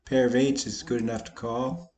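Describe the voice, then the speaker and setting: A slightly hoarse man, a poker vlogger, casually talking through his online poker hands with a folksy, self-deprecating manner. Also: medium pitch, flat delivery, normal speed, darkish tone, rough recording. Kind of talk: casual commentary over online poker play.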